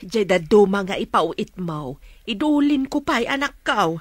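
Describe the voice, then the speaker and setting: A woman's voice: medium pitch, sing-song, unhurried, dry recording. A woman playing an affectionate, ailing older mother in a radio drama, with an Ilocano accent.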